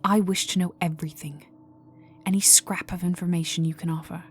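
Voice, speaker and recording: A woman playing a cold, vengeful aristocratic vampire in a dark ASMR roleplay, speaking formally and menacingly and often sinking to a whisper. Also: on the low side, steady tone, brisk, slight background noise, close to an everyday voice. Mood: stern, guarded. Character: cold vengeful aristocratic female vampire, young heiress in mourning.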